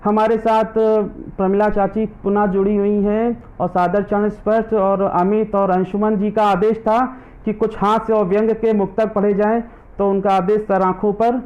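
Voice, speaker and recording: A man's voice: high voice, flat delivery, moderate pace, highs cut off. A man reciting his own comic and devotional verses live online. He says every line twice and stops between poems to greet viewers by name. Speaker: poet; government teacher.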